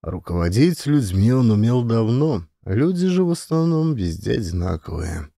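A man's voice: medium pitch, sing-song, moderate pace, gravelly, mellow, no echo, clean studio sound. A man reading a scripted historical adventure story as an audiobook narrator.

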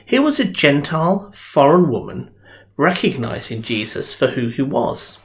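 A man's voice: a little high, sing-song, unhurried. A middle-aged man, a minister, giving a sermon in a conversational, earnest storytelling way from his home study into a webcam. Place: home study with bookshelves, indoors. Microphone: webcam at desk.

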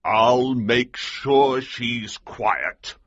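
A man voicing a bold, commanding army colonel in an audiobook, with a husky voice and an urgent, emphatic delivery. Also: a little high, big pitch swings, slow pace, a bit bright, no room sound, dull audio, voice pushed up, heavily acted. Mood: grimly determined. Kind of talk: audiobook character dialogue.

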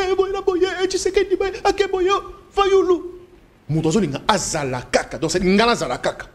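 A middle-aged man with a Congolese French accent, debating emphatically in a TV studio at a desk mic, mixing French and Lingala. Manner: emphatic, gesturing, swinging pitch, switching between French and Lingala. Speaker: political debate guest. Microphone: desk microphone.

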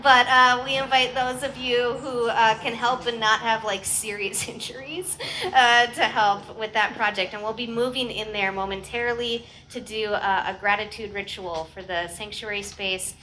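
High-pitched American woman pastor addressing her congregation. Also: steady tone, moderate pace, hardly any pauses, bright, forceful tone, slight background noise, low-quality recording. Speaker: pastor leading a church service. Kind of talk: informal church announcements and blessing to a congregation. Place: outdoor church patio.

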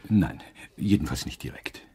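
An actor playing a careful, formal male science professor in a radio play, with a husky voice. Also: normal speed, mellow, lightly acted. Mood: careful, factual.

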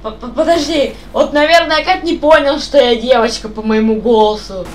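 Girl gamer rambling on voice chat, bit high, faint noise behind. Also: normal speed. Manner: rambling, asks repeated questions. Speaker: gamer chatting on voice call. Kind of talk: casual chat while playing.

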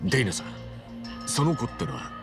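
A man playing an earnest, blunt tough guy in his forties in a game cutscene, speaking roughly but respectfully, with deliberate emphasis. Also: mid-range voice, sing-song, over music, lightly acted. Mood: pondering. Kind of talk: dramatic game cutscene acting.

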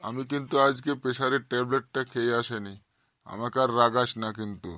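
A man's voice: medium pitch, steady tone, brisk, pushed and bright, dry recording, close to an everyday voice. A man voicing a short-tempered middle-aged male schoolteacher in a comic cartoon, blunt and sarcastic with his pupils. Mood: exasperated, scolding. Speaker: cartoon voice actor.

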